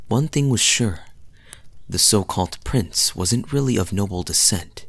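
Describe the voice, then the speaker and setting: A man playing a charming, teasing young fantasy knight in an intimate audio roleplay, telling stories to the listener in a soft, breathy voice. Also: deep voice, exaggerated voice. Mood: intimate, sly. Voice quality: soft, husky, breathy at times. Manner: smooth-talking, teasing, unhurried storyteller with dramatic pauses.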